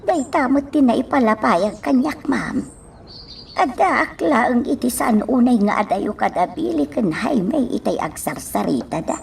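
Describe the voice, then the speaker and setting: Actress as high-voiced, deferential Ilocano woman. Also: animated, a little slow, vocal fry, a little mellow, far from the mic, slight background noise. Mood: concerned. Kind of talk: Ilocano radio drama acting.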